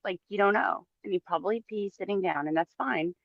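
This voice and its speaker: A woman's voice: medium pitch, a little fast, creaky, no room sound. A woman bantering casually as a livestream co-host, joking in a mocking way, heard through slightly muffled audio.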